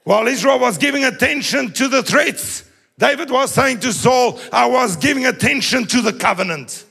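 A man preaching a sermon in a sing-song manner that rises into shouts. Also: very high voice, normal speed, creaky, husky, soft background music.

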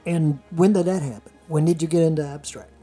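Mellow-voiced interview host. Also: mid-range voice, steady tone, brisk, faint music behind. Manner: brief prompts and short replies. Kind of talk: casual interview.

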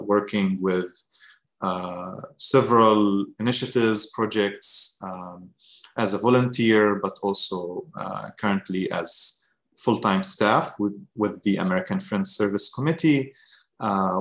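A man in his thirties from Gaza, introducing himself as a guest fellow on a webinar in an earnest, halting way full of pauses. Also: lowish voice, fairly bright tone. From Gaza, Palestine. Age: 30s.

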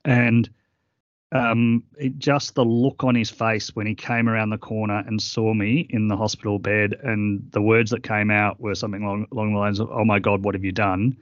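A middle-aged man guesting on an interview, talking earnestly and haltingly with many fillers. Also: on the low side, flat delivery, no room sound, lo-fi sound. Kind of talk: reflective interview conversation.

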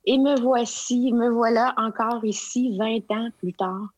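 A woman's voice: on the high side, fairly even intonation, a little slow, darkish tone, no echo. Quebec French woman in her forties, hesitant, conversational, dry close sound. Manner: hesitant, many fillers and restarts. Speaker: guest, provincial education consultant. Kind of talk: casual interview conversation.

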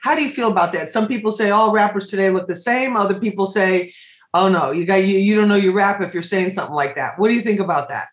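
A man's voice: high voice, fast, fairly bright tone, echoey room. A man hosting a casual interview, rambling through his questions with many restarts. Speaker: radio host.

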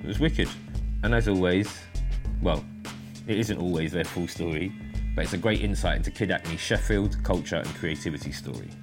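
A man's voice: low voice, moderate pace. A man hosting a podcast in a studio, chatting casually with slang and swearing. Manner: slangy, laid-back, swears freely. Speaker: podcast host. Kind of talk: casual interview chat. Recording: music behind the voice.

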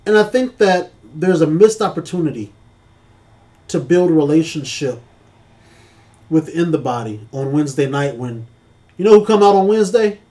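A man's voice: on the high side, expressive, normal speed, pauses often, mellow. A man co-hosting a casual church-talk podcast, speaking earnestly with a preacherly cadence.

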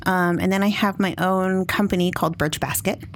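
A woman talking casually as a podcast guest, soft-spoken and quick to laugh. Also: fairly low pitch, fairly even intonation, slight background noise. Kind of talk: casual chat.

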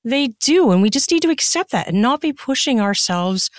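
A woman's voice: on the high side, very animated, normal speed, dry recording, clean studio sound. A woman chatting casually and candidly as an interview guest, with an earnest way of making her points. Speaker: podcast guest, writer and podcaster.

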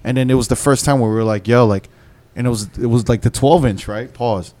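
A man in a relaxed, informal conversation. His pitch is middling for a man and his talk is full of casual slang. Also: brisk. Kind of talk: casual chat.

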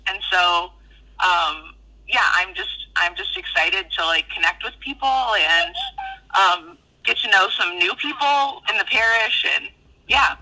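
A woman's voice: medium pitch, lively intonation, measured pace, pushed and bright, low-quality recording. A woman chatting casually and in a friendly way over a speakerphone call from a car. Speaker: parishioner phoning into podcast. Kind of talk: casual phone chat. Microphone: phone call on car speakerphone.

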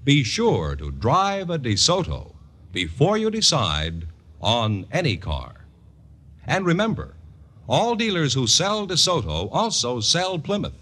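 A man's voice: fairly high pitch, wildly swooping pitch, measured pace, a bit bright, breathy edge. A man with an American accent announcing in an old-time broadcast style, as for a show or an ad.

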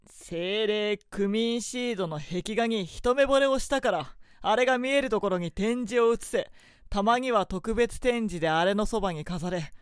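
A woman's voice: mid-range voice, normal speed, clean tone, dry recording, lightly acted. A woman playing a haughty, short-tempered young prince in a radio drama, giving brusque, imperious orders. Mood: grudging explanation. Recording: studio drama with background music.

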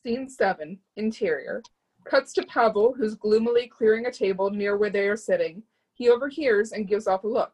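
A woman's voice: mid-range voice, normal speed, darkish tone, poor audio. A woman narrating stage directions from a script at a screenplay reading.

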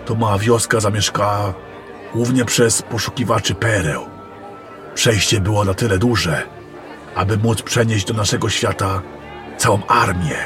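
A man playing a secretive, scholarly adept of magic in a fantasy audio drama, speaking in a formal, measured, courteous way. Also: on the low side, natural voice. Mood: explaining. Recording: music and crowd murmur behind.

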